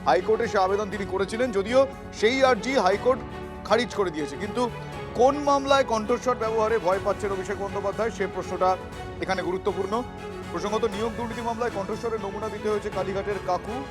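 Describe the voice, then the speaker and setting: Fast, high-voiced male news anchor. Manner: emphatic, repeats key phrases. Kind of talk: live news commentary. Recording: music bed behind voice. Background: news music.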